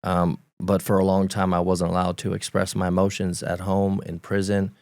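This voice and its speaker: A Romanian-born man in his forties with a Romanian accent, English his second language, telling his story as a guest on a talk show, halting and repeating words as he recalls. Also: deep voice, monotone, gravelly, a little mellow, no room sound. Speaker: podcast guest. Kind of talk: candid conversational storytelling.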